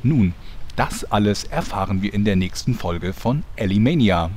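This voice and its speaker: A man's voice: lowish voice, lively intonation, moderate pace, noisy background, natural voice. An actor playing the wry male narrator of a parody fantasy soap in an audio drama. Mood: wry, signing off. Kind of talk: scripted narration.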